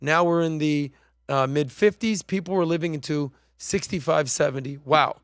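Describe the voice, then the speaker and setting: A man's voice: fairly high pitch, moderate pace, fairly bright tone, no echo, studio quality. A man hosting a podcast, talking in a casual, emphatic and slangy way while commenting on played clips. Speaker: podcast host.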